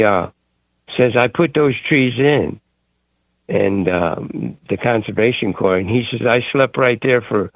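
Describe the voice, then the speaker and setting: A man calling in to a talk radio show, telling a long rambling story in a gruff way. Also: mid-range voice, no echo, phone-quality sound. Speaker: caller.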